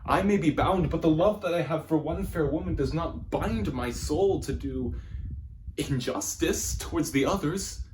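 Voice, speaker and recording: A man's voice: fairly high pitch, unhurried, a little mellow, lightly acted. A man performing an arrogant, passionate womanizing nobleman in a theatrical, declamatory classical stage monologue. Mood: grandiose, boastful. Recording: noisy amateur room recording.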